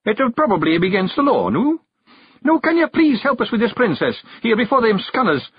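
Tiny Scottish fairy warrior, high-pitched, husky, blustering, emphatic, storybook character. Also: male voice, very animated, brisk, dry recording, lo-fi sound, heavily acted. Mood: pleading. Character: tiny ugly male fairy warrior, rowdy, blustering, improvising. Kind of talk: audiobook character voice.

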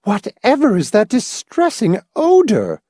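A woman's voice: medium pitch, huge pitch swings, a little slow, dark tone, dry recording, clean studio sound, voice pushed up. A man reading a story, voicing a fussy, gushing, complacent old woman with a prim, matter-of-fact manner. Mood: genteel fussing. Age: older.

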